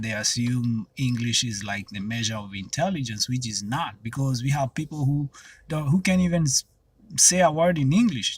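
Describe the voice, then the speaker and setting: Kenyan man with a husky voice. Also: mid-range voice, no echo. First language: Swahili and another Kenyan language. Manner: rambling, with many restarts and fillers. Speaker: vlogger. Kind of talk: casual talk to camera.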